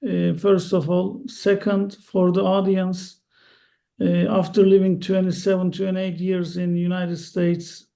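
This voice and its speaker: Cast: middle-aged Turkish-accented man, podcast guest, deliberate halting delivery, high gravelly mellow voice. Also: completely flat delivery. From Turkey. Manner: slow, careful, halting with many uhs. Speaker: guest, real estate investor. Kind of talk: interview answers.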